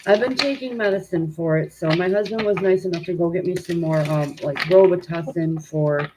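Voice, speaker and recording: A woman with a stuffy, congested nose hosting a tarot reading live stream at home, chatting informally with viewers and filling pauses with "um". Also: on the low side, background noise. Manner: informal and chatty, many "um" fillers, greets viewers. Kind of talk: casual live-stream chat and card reading.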